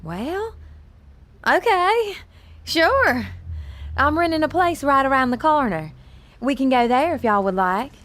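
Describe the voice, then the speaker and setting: A naive young Southern pageant hopeful, played by a woman, with a fairly high voice and an unhurried drawn-out pace. She sounds sweet, polite and unsure of herself in a comic audio drama. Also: big pitch swings, a bit bright, exaggerated voice. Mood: eager, hesitant. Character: innocent, naive, insecure young female pageant contestant. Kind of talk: audio comedy drama acting.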